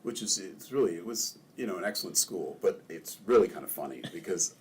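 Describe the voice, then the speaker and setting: An older man from Maryland, a ward councilman, telling relaxed stories in a casual interview and chuckling often. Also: deep voice, brisk, slightly rough.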